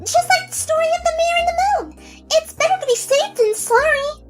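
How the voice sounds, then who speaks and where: Super squeaky bouncy pink pony girl, swoops way up and down, bubbly. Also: animated, moderate pace, soft background music. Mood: earnest. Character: bubbly pink party pony mare, excitable. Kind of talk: fanfic audiobook reading.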